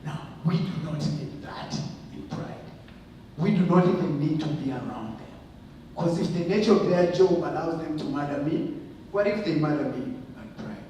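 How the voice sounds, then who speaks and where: Higher-pitched man speaking in an echoey hall. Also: moderate pace, mellow. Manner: emphatic, repetitive phrasing with rhetorical questions. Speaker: community forum speaker. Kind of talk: impassioned public speaking to a group. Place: large indoor arts venue. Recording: noisy, echoey hall recorded from far off.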